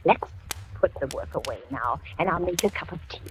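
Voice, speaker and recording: A woman's voice: sits low, lively intonation, fairly quick. Motherly housewife, old noisy radio drama. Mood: uneasy, soothing. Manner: motherly, placating, hesitant. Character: kindly, nervous older housewife, widening unease. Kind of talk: 1940s radio acting, with first-person narration.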